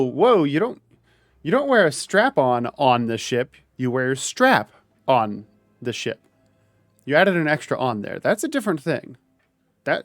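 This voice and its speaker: Laughing, lively man streamer. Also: mid-range voice, gravelly, no room sound. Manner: joking, laughs often, talks in restarts. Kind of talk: casual gaming chat.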